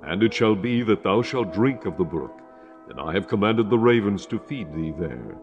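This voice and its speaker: An actor voicing God in a dramatized Bible, giving solemn commands in a grave, declamatory manner. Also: male voice, fairly low pitch, lively intonation, moderate pace, lo-fi sound, soft background music.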